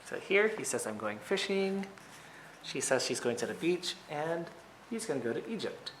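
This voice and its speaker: A man who is a teacher reading a picture book aloud to children, with expressive, emphatic phrasing and short asides to his listeners. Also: fairly high pitch, normal speed, noisy background.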